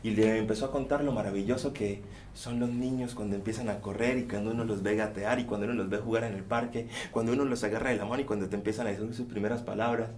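A man performing a folktale on stage, speaking rhythmically and repeating phrases, often sinking to hushed tones. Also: on the low side, steady tone, fast, slight background noise. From Colombia. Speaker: storyteller. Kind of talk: theatrical oral storytelling. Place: dark theater stage.

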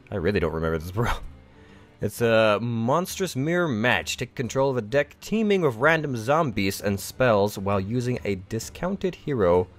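A man doing casual gameplay commentary with a slightly congested, froggy voice, rambling, chuckling and thinking aloud. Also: lowish voice. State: congested, a bit froggy from the sniffles. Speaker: gaming YouTuber.

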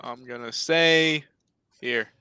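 A man chatting casually on a video call while playing an online guessing game, playful and quick to laugh. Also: medium pitch, bright, forceful tone, clear, resonant tone, dry recording. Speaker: gamer guest. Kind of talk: casual chat while gaming. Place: home room on a video call.

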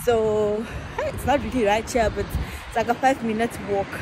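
South african girl in her 20s vlogging on a walk, slightly high voice, lots of street noise, phone at arm's length. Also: steady tone, normal speed. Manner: chatty, rambling with many "like" fillers. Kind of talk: casual vlog chat. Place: outdoors on a street at sunset. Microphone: phone held at arm's length.

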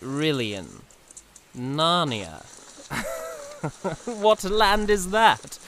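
A man acts a haughty, merry young knight in an audio drama. His courtly speech swings widely in pitch and moves at a measured, slow pace. He sounds grandiose and theatrical. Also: wildly swooping pitch, a bit bright, a little hiss or hum, heavily acted. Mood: mocking, amused. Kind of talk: fan audio drama acting.

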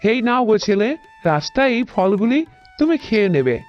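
A woman's voice: a little high, big pitch swings, normal speed, darkish tone, soft background music. A woman voicing a kindly, mysterious old woman of the forest in a cartoon, compassionate and folksy, in a lo-fi recording. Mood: kindly, concerned. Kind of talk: cartoon morality tale dialogue.

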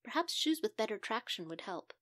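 A woman's voice: on the high side, very animated, a little fast, fairly bright tone, dry recording, studio quality. A woman voicing an exasperated but caring male doctor in a children's story, firm and admonishing.

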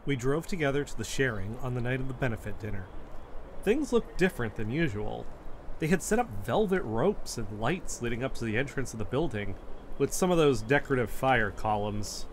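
An actor playing an earnest amateur investigator, narrating events in first person as scripted audio drama. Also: male voice, mid-range voice, very animated, a little fast, a little hiss or hum, natural voice. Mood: earnest, intrigued.

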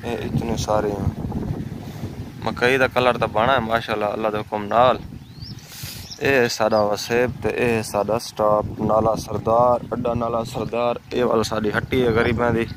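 A man's voice: lowish voice, little pitch movement, measured pace. A man in his thirties from rural Pakistan with a Saraiki accent, chatting casually as a village vlogger into a handheld phone outdoors. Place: outdoors on an open village roadside. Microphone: handheld phone at arm's length. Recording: noisy outdoor phone recording, wind and vehicles.

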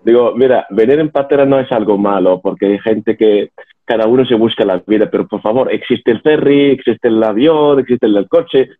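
A young man talks over a thin, phone-like video call line. His voice sits mid-range, and he speaks haltingly with frequent restarts, mixing jokes into his points. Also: mid-range voice. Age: young adult. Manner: joking, halting with many restarts. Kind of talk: casual chat on a video call.

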